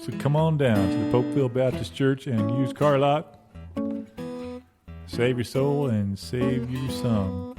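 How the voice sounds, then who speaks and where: A man playing a folksy, sly church car salesman giving an earnest, rambling, halting local advertisement. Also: mid-range voice, natural voice. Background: plucked guitar music.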